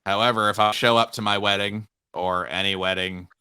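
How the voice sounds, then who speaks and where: A young man co-hosting a casual sports podcast, relaxed and playfully teasing his co-host. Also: on the low side, fairly quick, clean tone, no echo.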